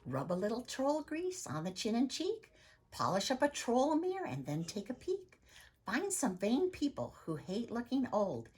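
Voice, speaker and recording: A woman acts out a storybook troll maiden, scheming and sure of herself. The voice is slightly husky and leaps about in pitch, with a brash, sing-song selling manner. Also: huge pitch swings, normal speed, a little mellow, exaggerated voice. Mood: gleeful, sing-song. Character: clever, bold, scheming young female troll.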